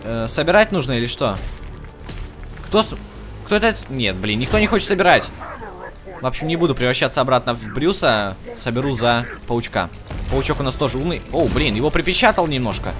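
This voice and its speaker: A man doing casual, slangy let's-play commentary, excitedly urging the game's characters on as he plays. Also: mid-range voice, a little fast. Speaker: gaming channel host. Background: game music and effects.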